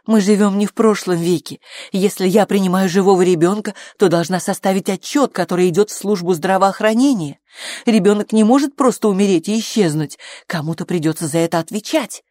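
A woman's voice: mid-range voice, brisk, warm tone, no echo, clean studio sound, voice pushed up, close to an everyday voice. A woman playing a firm, sensible midwife who reasons insistently, in an audiobook. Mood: indignant, insistent. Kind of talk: audiobook character acting.

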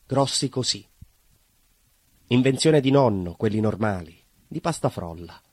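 An actor playing a simple, proud working-class baker in a stage monologue, earnest and conversational, with a southern Italian accent. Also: male voice, mid-range voice, dry recording. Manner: naive, earnest, emphatic, conversational. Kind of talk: theatrical monologue, colloquial storytelling.

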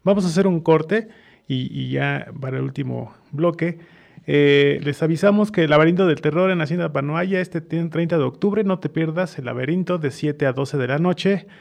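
Male radio host, central Mexican accent, higher-pitched with a gravelly fry, hesitant delivery. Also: normal speed, darkish tone. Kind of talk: live radio interview and announcements.

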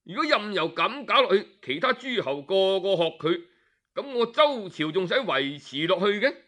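A man's voice: high voice, sing-song, normal speed, bright, forceful tone, heavily acted. A man voicing a proud, petty and vengeful king who speaks in imperious anger, in an audiobook tale. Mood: furious, imperious. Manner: imperious, teeth-gritted anger.

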